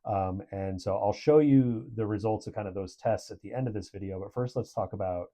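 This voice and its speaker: A man's voice: lowish voice, fairly quick, mellow. A man giving a casual product review in a conversational, approving way.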